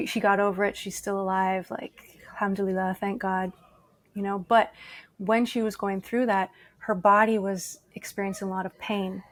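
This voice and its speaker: A woman speaks in an even, level tone with little rise and fall, explaining earnestly and leaning on fillers. The sound is dry and close, from a guest interview recorded live at a conference. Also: medium pitch, no room sound. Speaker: guest, yoga teacher and activist. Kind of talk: conversational interview.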